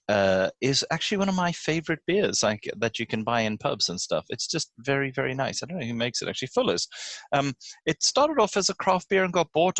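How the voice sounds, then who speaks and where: A man's voice: medium pitch, a bit bright, no echo. A man chatting casually and jokingly as a podcast host. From South Africa. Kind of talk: casual chat. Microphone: home microphone on a video call.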